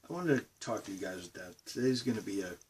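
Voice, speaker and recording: A man's voice: on the low side, expressive, fairly quick, distant mic, slight background noise. A man with a Boston accent rambling casually to his camera from his bedroom studio. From Massachusetts, USA. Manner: rambling, meandering talk. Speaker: vlogger.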